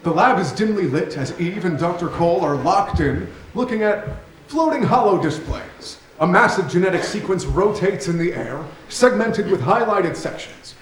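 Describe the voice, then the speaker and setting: A man's voice: high-pitched, lively intonation, moderate pace. A man reading scripted stage directions as narrator of a staged play reading. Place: theatre stage. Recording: roomy theatre recording with faint noise.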